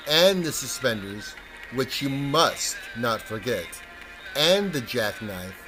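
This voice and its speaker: A man reading a children's story expressively, with a deliberate, rhythmic delivery that savours the words. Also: medium pitch, fairly bright tone, rough recording. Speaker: storyteller, podcast reader. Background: music.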